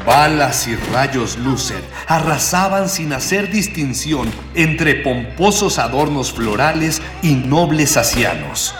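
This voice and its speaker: A man's voice: medium pitch, lively intonation, takes it slowly, hardly any pauses. Echoey male radio serial narrator, florid and mock-epic. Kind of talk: scripted dramatic radio narration. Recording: echoey long reverb with loud music.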